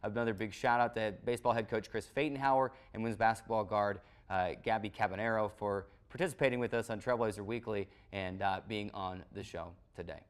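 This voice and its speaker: A man's voice: lowish voice, steady tone, fairly quick, few pauses, smooth. An American man presenting a college sports recap show as its host, in the manner of a play-by-play announcer.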